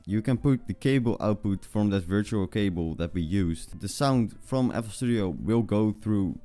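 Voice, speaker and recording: Low, mellow young man. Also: expressive, soft background music. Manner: informal, halting, repeats words. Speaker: tutorial video host. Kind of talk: casual screen-recording tutorial.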